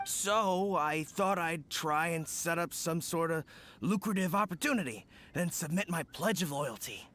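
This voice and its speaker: A man's voice: on the high side, animated, a little slow, a bit bright, slight background noise. A man voicing a dim but eager young apprentice thief in a video game, stammering and folksy. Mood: sheepish admiration. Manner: hesitant, stammering, folksy slangy speech. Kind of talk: English video game voice acting. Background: game music.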